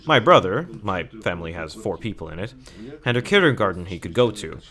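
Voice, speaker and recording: A man interviewee recounting his childhood in a measured, matter-of-fact way. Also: lowish voice, big pitch swings, sharp tone. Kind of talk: personal recollection in an interview.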